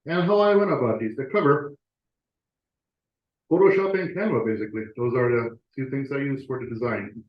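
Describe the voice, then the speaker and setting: A man gives a relaxed presentation to fellow club members, working through slides with fillers and restarts. His mid-pitched voice rings in a roomy meeting space. The recording is dull and lo-fi. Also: moderate pace. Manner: conversational with frequent fillers and restarts.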